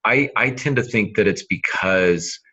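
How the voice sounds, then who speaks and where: A man's voice: on the low side, measured pace, bright, forceful tone, slightly rough. A man hosting a casual podcast discussion, speaking in a hesitant, lightly teasing way. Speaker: podcast host, novelist. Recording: dull lo-fi sound.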